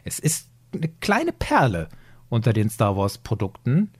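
A man's voice: mid-range voice, wildly swooping pitch, measured pace, breathy edge. A man hosting a podcast in an enthusiastic, appreciative, casual chatting manner. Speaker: podcast host. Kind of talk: casual chat.

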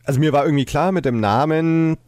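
A man's voice: fairly high pitch, fast talker, darkish tone, no room sound. A man chatting casually as a podcast host. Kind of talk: casual chat.

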